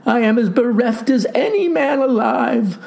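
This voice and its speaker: A woman's voice: a little high, animated, unhurried, gravelly, darkish tone, pitched above the performer's own voice. A woman voicing a humiliated, self-pitying boy who wails in florid, overwrought phrases for a comic story. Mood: bereft, wailing.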